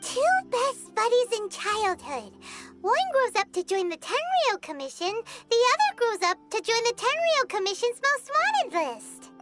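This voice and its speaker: Tiny game mascot: extremely high squeaky childlike voice, excitable, inquisitive, swooping. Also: female voice, measured pace, faint music behind, heavily acted. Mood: amazed. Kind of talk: scripted video game voice acting.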